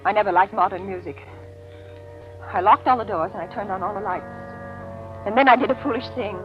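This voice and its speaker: An actress playing a well-to-do, unnerved woman recounting a disturbing ordeal, in dramatic, deliberate 1940s radio style. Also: medium pitch, lightly acted. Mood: tense, controlled. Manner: dramatic, deliberate, emphatic repetition. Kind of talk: 1940s radio acting, first-person suspense narration. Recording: muffled vintage radio recording, music behind.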